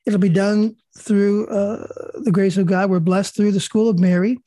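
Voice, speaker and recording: A woman hosting an online prayer and reading group, reciting prayers calmly and then chatting with many fillers and restarts over a video call. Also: medium pitch, no echo. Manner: calm and measured, with many fillers and restarts in chat. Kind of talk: leading prayers, then casual group chat.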